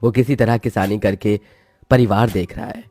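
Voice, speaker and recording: A man's voice: on the low side, fairly even intonation, moderate pace, warm tone, no echo. A man reading a scripted moral story as its narrator, with music behind him.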